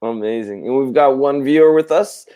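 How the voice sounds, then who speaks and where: A man from Puerto Rico, co-hosting a casual live stream and explaining things slowly. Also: medium pitch, dark tone. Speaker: co-host.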